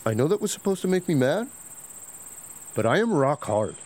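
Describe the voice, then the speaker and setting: A man's voice: on the high side, sing-song, normal speed, background noise. A man playing a cocky, slangy, boastful livestreamer in a sci-fi comedy audio drama. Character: obnoxious, boastful young male livestreamer and vape bro.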